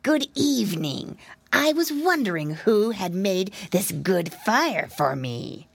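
A woman's voice: medium pitch, big pitch swings, slow, creaky, husky, exaggerated voice. A woman voicing a sly, greedy big bad fox who snarls and gloats. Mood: gloating.

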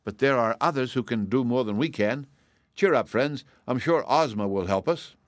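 A man's voice: medium pitch, normal speed, no room sound, studio quality, voice pushed up, lightly acted. A man voicing a brave, cheerful little girl adventurer who explains things in a confident, matter-of-fact way for a storybook. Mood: cheerfully reassuring.

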